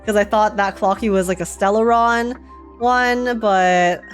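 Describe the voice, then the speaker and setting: Girl streamer reacting live, rambly n casual, thinking out loud, bit of music behind. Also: medium pitch, steady tone, a little slow. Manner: informal, rambling, thinks aloud. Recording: game music in the background. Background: video game music and dialogue.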